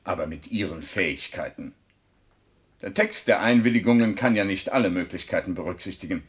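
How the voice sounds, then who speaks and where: An actor playing a callous, impatient scientist doctor in a science-fiction radio play, clinical and brusque in manner. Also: male voice, low voice, fairly quick, breathy edge. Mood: cool, dismissive. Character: callous, impatient male scientist doctor, coolly self-justifying. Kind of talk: German science-fiction radio drama acting.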